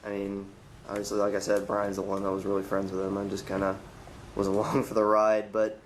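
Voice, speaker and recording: A man playing a reluctant, hesitant young former film actor in a naturalistic found-footage interview, halting and noncommittal. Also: lowish voice, monotone, a little hiss or hum, lightly acted. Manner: halting, noncommittal, mumbly fillers.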